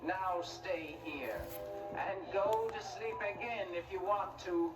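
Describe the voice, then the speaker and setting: A woman's voice: lowish voice, slow pace. A woman playing a harsh, scornful fairy-tale stepmother in a children's story drama. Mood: ominous. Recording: echoey, distant, loud music behind.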